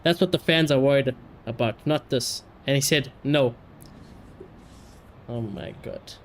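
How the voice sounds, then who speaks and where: A man giving casual livestream commentary in an animated, slangy, sweary way. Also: mid-range voice, measured pace, a little hiss or hum. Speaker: live streamer.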